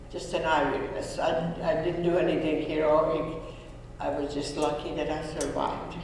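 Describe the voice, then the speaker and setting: A woman, giving an earnest, halting personal testimony to students, often restarting her sentences. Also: low voice, a little mellow, slight background noise. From Hungary. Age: 90s. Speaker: Holocaust survivor speaking to students. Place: school room or auditorium. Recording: echoey hall, voice from far off.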